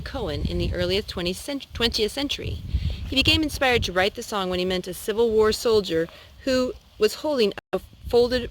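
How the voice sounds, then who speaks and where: Lady reading a kids story out loud, medium pitch, sometimes fixes a word. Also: poor audio. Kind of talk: read-aloud children's storytelling.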